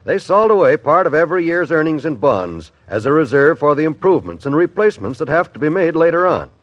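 A man's voice: a little high, very animated, quick pace, dry recording. A man with an American accent reading a scripted 1950s radio announcement. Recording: dull, dry old radio recording.

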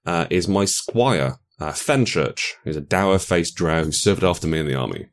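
A man's voice: deep voice, animated, normal speed. A man guiding players through tabletop character creation, explaining rules in a playful, easy manner. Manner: explanatory, playful, laughs easily. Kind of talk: casual tabletop chat.

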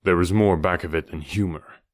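A man voicing a grave, steady adventurer hero with a serious, measured delivery in an audiobook. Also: low voice, no echo, studio quality, lightly acted. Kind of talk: audiobook character dialogue.

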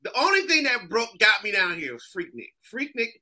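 East Coast man, guest on a chat show, very bright voice, lively storyteller who mimics others. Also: sits high, fairly quick. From Springfield, Massachusetts.

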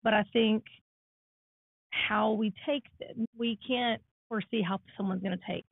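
A woman in her thirties with a naturally raspy voice, co-hosting a casual podcast chat. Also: medium pitch, fairly even intonation, unhurried, dry recording. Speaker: podcast co-host. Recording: dry, phone-like lo-fi sound.

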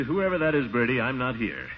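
An actor playing a blustery, self-important middle-aged uncle, pompous but kindly, in old-time radio comedy. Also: male voice, mid-range voice. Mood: flustered. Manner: pompous, exclaiming, scolding. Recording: muffled noisy vintage radio recording.

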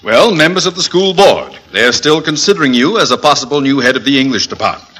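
An actor playing a pompous middle-aged school principal in an old radio sitcom, officious and blustering. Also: male voice, mid-range voice, expressive, quick pace, a bit bright, husky. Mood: stern, awkward. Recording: slightly noisy vintage radio recording.

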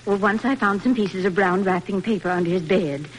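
Woman playing gentle, tactful wife, old radio drama. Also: medium pitch, a little fast, close to an everyday voice. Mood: tentative. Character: gentle, tactful wife soothing her husband. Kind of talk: 1940s radio drama acting. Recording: noisy old radio broadcast recording.

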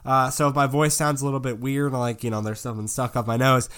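A young man with a stuffed-up nose from a cold hosts a casual sports podcast. His voice stays smooth and resonant despite the congestion, and he rambles along with plenty of ums and you-knows. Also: medium pitch, level tone, quick pace, a bit bright. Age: young adult. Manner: rambling, filler-heavy. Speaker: podcast host.

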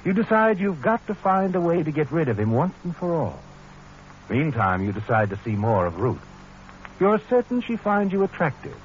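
An actor playing a knowing, taunting narrator who tells a 1940s radio suspense story in a dramatic, ominous manner. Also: male voice, on the high side, slight background noise. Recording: dull old radio recording with music.

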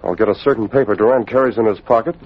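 An actor playing a capable young American gentleman hero in an old radio drama, speaking in a crisp, educated, decisive way. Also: on the low side, racing, natural voice. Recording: muffled, noisy old radio recording.

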